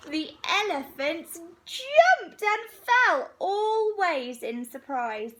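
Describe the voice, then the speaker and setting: A woman reading a picture book aloud to young children in an expressive, unhurried way, pausing between phrases. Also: extremely high pitch, a little hiss or hum, rough recording.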